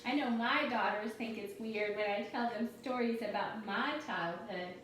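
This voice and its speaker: A woman's voice: medium pitch, moderate pace, fairly bright tone. A woman reading a storybook aloud to young children as their teacher, expressively, often turning to ask the listeners questions. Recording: very echoey room, distant mic, faint noise.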